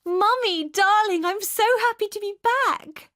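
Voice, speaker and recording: A naive young woman, newly grown up as acted by a woman reader, gushes with breathless eagerness. Her voice soars high and sits close and dry, in the manner of a radio short story. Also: a little slow, pushed and bright, no room sound, heavily acted. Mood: overjoyed.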